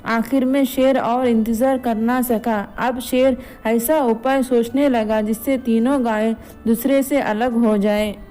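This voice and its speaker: A woman's voice: on the high side, steady tone, a little slow, hardly any pauses, warm tone, rough recording. A woman narrating a scripted moral story as a storyteller. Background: background music.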